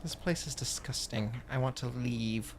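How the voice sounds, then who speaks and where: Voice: medium pitch, a little slow, a little hiss or hum. A player talking casually in an improvised tabletop fantasy game.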